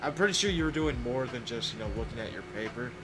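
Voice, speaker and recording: A man's voice: medium pitch, expressive, fast. Guy just chatting w his buddy, voice moves up and down a lot, music in the back. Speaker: listener commenting on a friend's story. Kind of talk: casual chat.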